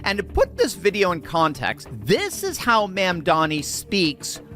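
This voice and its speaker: A man's voice: high voice, huge pitch swings, unhurried, bright, forceful tone, soft background music. A man hosting political commentary, sarcastic and mocking, chuckling between remarks. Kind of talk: commentary on clips.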